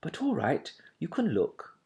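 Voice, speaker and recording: A man voicing a gentle young woman who speaks softly, almost in a hush, in a kindly way. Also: on the high side, wildly swooping pitch, measured pace, gravelly, voice pushed up, full character voice. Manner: soft, hushed, kindly.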